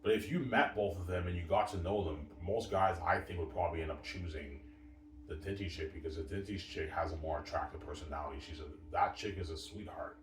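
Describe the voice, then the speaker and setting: A man in his thirties talks on and on in a very low voice, circling back to the same points and leaning on stock phrases. He sounds set back from the mic, with the echo of the room around him. A faint hiss runs underneath. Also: brisk. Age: 30s. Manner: repetitive, fills with "obviously" and "you know what I'm saying". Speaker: vlogger. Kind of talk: rambling personal monologue. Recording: roomy and distant with faint background hiss.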